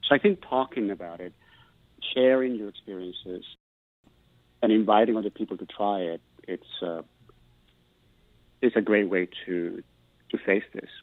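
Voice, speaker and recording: A man's voice: lowish voice, measured pace, pauses often, dry recording. Man, tinny and dry. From Peru. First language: Spanish. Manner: earnest, thoughtful, restarts words while searching. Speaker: guest, Spanish professor. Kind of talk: recorded interview answers.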